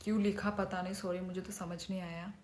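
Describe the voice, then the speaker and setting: A woman's voice: on the low side, flat delivery, a little fast, noisy background. A woman in her thirties, a native Kokborok speaker, chatting casually with viewers on a livestream and reading their names aloud. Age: 30s. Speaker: livestream host.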